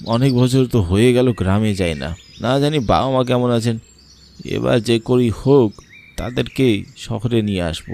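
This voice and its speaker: A man voicing an uneasy city gentleman back in his village, polite and questioning, in a cartoon. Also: mid-range voice, measured pace, gravelly, a little hiss or hum. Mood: wistful, determined. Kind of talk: cartoon dialogue. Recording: background music, night insects.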